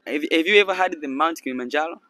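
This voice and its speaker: A young man from Tanzania with a Tanzanian accent, hosting a casual street interview outdoors with a handheld mic and explaining things slowly in broken English. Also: on the high side, sharp tone. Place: sunny beach boardwalk outdoors.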